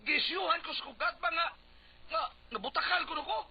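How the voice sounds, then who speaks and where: A man's voice: very high voice, a little fast. A man with a Cebuano accent playing a touchy, wounded, hot-tempered husband and candidate in a comic radio drama, whining melodramatically. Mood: indignant, outraged. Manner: melodramatic, whining, repeating himself. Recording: noisy, dull lo-fi radio recording.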